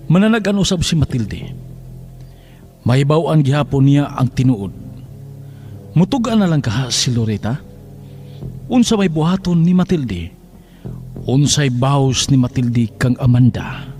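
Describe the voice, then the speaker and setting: A man's voice: on the high side, darkish tone. A man delivering dramatic scripted narration as the announcer of a radio drama.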